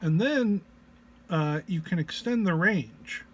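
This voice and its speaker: A man giving an informal technical walk-through as a presenter, sounding low-energy and under the weather, with a hesitant, halting delivery. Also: expressive. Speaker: presenter demonstrating an audio effects patch. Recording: clear background noise, single mono microphone.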